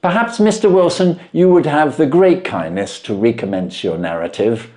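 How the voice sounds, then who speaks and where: A man voicing a self-assured gentleman detective in an audiobook, formal, courteous and emphatically articulate. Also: on the high side, normal speed, voice pushed up, lightly acted. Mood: animated, lecturing. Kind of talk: audiobook character reading.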